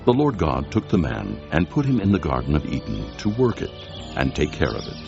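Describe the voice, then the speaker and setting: Bible narrator, male: extremely deep and gravelly, solemn with wide intonation, music underneath. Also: huge pitch swings. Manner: solemn, measured storytelling. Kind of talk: dramatized scripture narration. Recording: dull lo-fi audio with music behind.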